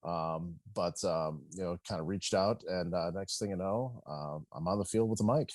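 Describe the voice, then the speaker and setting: A man's voice: low-pitched, normal speed, a little mellow, no room sound, studio quality. A middle-aged man chatting casually as a podcast guest, bantering and peppering his talk with "you know". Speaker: guest, baseball public address announcer.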